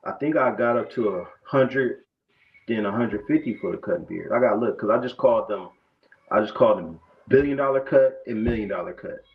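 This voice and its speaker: A man's voice: lowish voice, brisk. A man, a barber telling his story in a loose, conversational way full of restarts. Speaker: barber guest. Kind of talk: casual interview talk. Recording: dull, muffled lo-fi sound.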